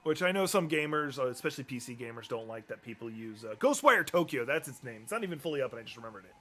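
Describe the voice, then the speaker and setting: A man streaming a game, talking casually through menus with lots of ums and restarts, his voice going hoarse. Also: mid-range voice, big pitch swings, fast, bright, forceful tone. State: losing his voice. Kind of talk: casual live-stream commentary.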